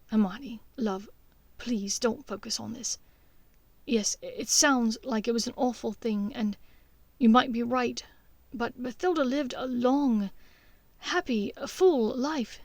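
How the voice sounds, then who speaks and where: A woman voicing a gentle young wizard in an audiobook, speaking softly and carefully to soothe someone. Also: fairly high pitch, slow pace, no room sound. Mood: tender, reassuring. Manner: soft, careful, tender. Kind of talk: audiobook character voice.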